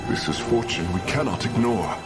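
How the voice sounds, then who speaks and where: A man's voice: on the low side, lively intonation, moderate pace, over loud music, lightly acted. A man playing a solemn, noble alien warrior in a science-fiction game, speaking formally and theatrically. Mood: earnest. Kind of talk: dramatic video game cinematic acting.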